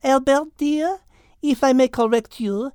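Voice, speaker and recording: A woman's voice: high voice, unhurried, warm tone, no echo, studio quality. A woman voicing a polite, genteel French cat in a children's story podcast, speaking with a French accent. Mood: gracious.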